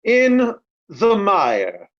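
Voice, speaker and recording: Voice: medium pitch, wildly swooping pitch, extremely slow, lo-fi sound, exaggerated voice. An actor playing a blunt, hot-tempered old loyalist in Shakespearean reader's theatre, scornful and emphatic, piling up insults. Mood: curt, contemptuous.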